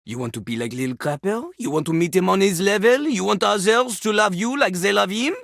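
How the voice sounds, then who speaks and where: A woman's voice: medium pitch, expressive, brisk, creaky, no echo, clean studio sound. A woman voicing a boastful, vulgar male French rapper toilet in a video game, with a French accent and a pompous, theatrical delivery that slips into rap. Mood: boastful, insistent. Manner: pompous, refers to himself in the third person.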